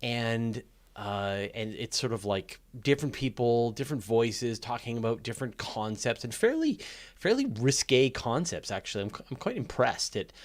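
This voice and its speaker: A man hosting a live question-and-answer stream in casual, unscripted speech, haltingly restarting his phrases as he rambles along. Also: medium pitch, expressive. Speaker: host of a live astronomy Q&A stream. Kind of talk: casual, unscripted livestream chat.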